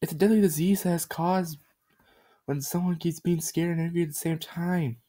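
A man voicing a little girl who earnestly delivers grim news in a halting way. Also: a little high, steady tone, dark tone, voice pushed up, natural voice.

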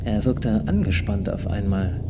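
A middle-aged man narrating a live radio play on stage with expressive, theatrical storytelling into a headset mic. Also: on the low side, measured pace, background noise.

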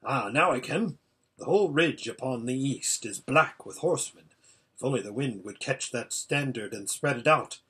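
A man's voice: medium pitch, expressive, moderate pace, a bit bright, breathy edge. A man voicing an impatient old hermit seer in a storybook, giving an anxious, exclamatory running report. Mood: tense, watchful. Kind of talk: storybook character voice.

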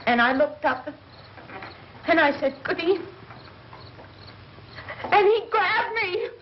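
Hysterical young woman, shocked and pleading, very high-pitched, old horror film, muffled noisy soundtrack. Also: expressive, heavily acted. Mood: dazed, shaken. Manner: distraught, halting, pleading. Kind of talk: 1960s horror film acting.

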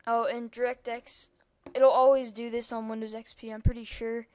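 A woman's voice: fairly high pitch, little pitch movement, moderate pace, a little mellow, no room sound. A young-sounding female speaker giving a rambling, casual computer tutorial over a screen recording, with lots of ums and asides. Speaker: tutorial maker.

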